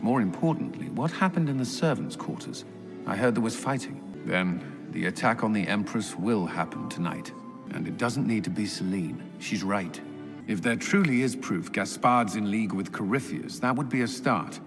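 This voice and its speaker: Guilt-haunted former knight and commander, formal, earnest, fairly deep, video game drama, prominent music underneath. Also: male voice, animated. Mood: businesslike, grave. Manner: formal, earnest, halting when emotional. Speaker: military advisor. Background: loud background music.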